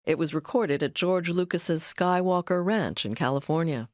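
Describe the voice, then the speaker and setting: A woman's voice: lowish voice, normal speed, a little mellow, no echo, studio quality. A woman delivering scripted narration as the narrator of a documentary.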